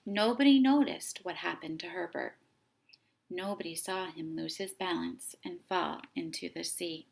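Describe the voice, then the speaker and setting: A woman reading a children's picture book aloud, with dramatic, deliberate phrasing as a storytime host. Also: fairly low pitch, vocal fry, a little hiss or hum. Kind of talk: expressive children's story narration.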